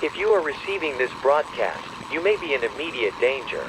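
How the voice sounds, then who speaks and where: Loud aircraft-like droning noise surrounds a man's mid-pitched voice. He reads a scripted emergency warning as a formal broadcast announcer. His delivery is measured and official. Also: sing-song. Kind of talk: scripted emergency alert narration.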